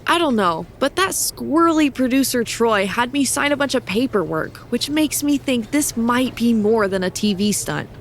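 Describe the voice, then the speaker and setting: A woman's voice: on the high side, animated, normal speed, hardly any pauses, slight background noise. An actress voicing a sarcastic young female wrestler in a video game cutscene, talking in a dry way. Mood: wry.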